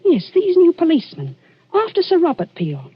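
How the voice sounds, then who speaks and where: Lilting, fussy housekeeper. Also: female voice, extremely high pitch, huge pitch swings, moderate pace, exaggerated voice. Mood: gossipy. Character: fussing, gossipy, deferential housekeeper. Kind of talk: 1940s radio acting. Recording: dull vintage 1940s radio recording, music.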